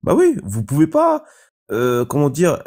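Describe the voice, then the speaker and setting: A man's voice: on the high side, huge pitch swings, measured pace, gravelly, a little mellow. A man calling in to a live stream, giving his opinion hesitantly with many fillers and restarts. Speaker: caller. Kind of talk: casual opinion monologue.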